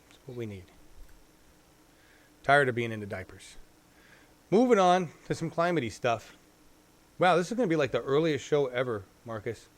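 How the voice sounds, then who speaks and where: A man hosts a casual live-stream, talking things through out loud. He rambles and restarts as he goes. His voice sits at an ordinary middle pitch. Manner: rambling, chatty, thinks out loud. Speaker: show host.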